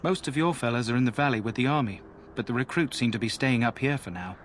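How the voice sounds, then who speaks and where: Male actor as chatty fantasy game soldier, folksy, plain-spoken, gossipy, mid-pitched, light background noise. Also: quick pace, natural voice. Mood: chatty, helpful. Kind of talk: video game character dialogue. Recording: noisy outdoor camp ambience behind voice.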